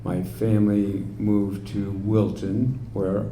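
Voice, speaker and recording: Older Wyoming man, low and mellow, hesitant guest with dry wit, roomy sound. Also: level tone, slow pace. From Wyoming, United States. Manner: hesitant, many fillers, dry humor. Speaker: interview guest. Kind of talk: casual remote interview. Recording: roomy with faint hiss.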